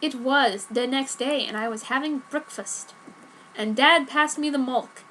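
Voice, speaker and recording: A woman's voice: high voice, unhurried, sharp tone, low-quality recording. A woman giving a dramatic reading of a fan fiction story. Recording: noticeable background noise.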